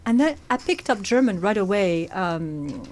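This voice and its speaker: A woman from France, a poet and actor, answering interview questions in a lively way, with frequent fillers and easy laughter. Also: medium pitch, measured pace, a little hiss or hum.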